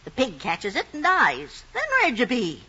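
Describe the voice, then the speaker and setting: A woman's voice: fairly high pitch, wildly swooping pitch, moderate pace, bright, forceful tone, slightly rough, heavily acted. An actress playing a stern, thrifty farm wife and mother in an old rural drama, scolding in a Southern American accent. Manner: scolding, no-nonsense. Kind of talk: vintage rural screen drama acting. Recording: dull old film soundtrack.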